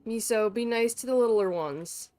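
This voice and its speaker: A woman's voice: on the high side, normal speed, darkish tone. Casual, playful female game streamer. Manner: informal, rambling, playful teasing, swears. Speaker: livestreamer. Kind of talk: casual gaming stream chat. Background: music in the background.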